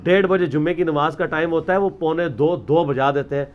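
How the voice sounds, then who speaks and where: Higher-pitched Pakistani man, argumentative religious lecturer. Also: brisk, muffled recording. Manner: argumentative, explanatory, mixes in English words. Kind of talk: informal religious lecture, extempore.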